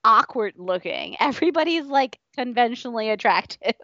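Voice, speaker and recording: Woman podcaster chatting casually, giggly, highish voice, bit muffled sounding. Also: expressive, moderate pace, sharp tone, no echo. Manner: giggly, conversational, frequent laughter. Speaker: podcast co-host. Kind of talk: casual chat.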